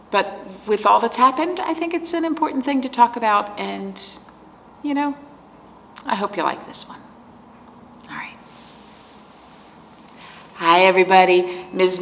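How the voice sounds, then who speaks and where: Slow-paced woman reading for young children, slightly creaky. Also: medium pitch, big pitch swings, slight background noise. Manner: gentle, simple explanations with questions to young listeners. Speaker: children's librarian and storytime host. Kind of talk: picture-book read-aloud and talk for preschoolers.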